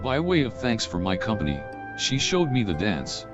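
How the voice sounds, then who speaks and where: An actor playing a wistful, courtly middle-aged gentleman in a video game, speaking in a formal, flowery storytelling manner. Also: fairly low pitch, sing-song, normal speed, dull audio. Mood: fond reminiscence. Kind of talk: dramatic scripted video game dialogue. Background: game music.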